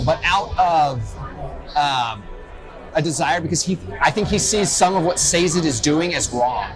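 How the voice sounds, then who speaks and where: A man co-hosting a casual fan discussion, speaking in an opinionated, enthusiastic way, recorded at a noisy convention. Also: fairly high pitch. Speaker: podcast co-host. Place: loud festival venue. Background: loud music.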